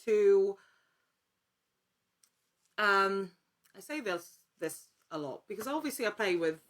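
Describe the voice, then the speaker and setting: A woman's voice: medium pitch, animated, slow pace. A woman who works as an actor, chatting casually and enthusiastically as an interview guest, with emphatic wording.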